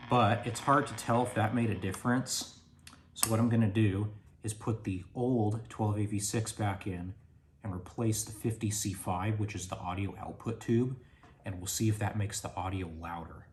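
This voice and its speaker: Man, low voice, repair tutorial host, step-by-step explaining, garage workshop, faint background hum. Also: steady tone, normal speed. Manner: plain, step-by-step explaining while working. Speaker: radio restoration host.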